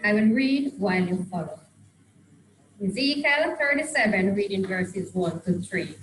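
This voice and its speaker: A young woman reading scripture aloud into a handheld microphone in a small church, measured and deliberate. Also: medium pitch, animated, reverberant, slight background noise, poor audio. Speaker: scripture reader. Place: small church hall, indoors.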